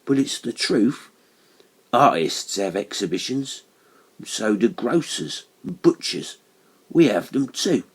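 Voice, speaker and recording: A man's voice: mid-range voice, sing-song, takes it slowly, breathy edge, voice pushed up, full character voice. A man voicing a big, friendly working-class stonemason with a blunt, colloquial, chatty manner, in an audiobook horror story. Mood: amused, chatty.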